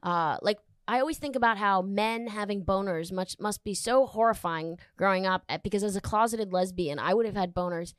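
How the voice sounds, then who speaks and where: Candid, crude woman with a smooth voice. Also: mid-range voice, a little fast, hardly any pauses, no echo. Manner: candid, crude, jokey storytelling. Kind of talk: casual chat.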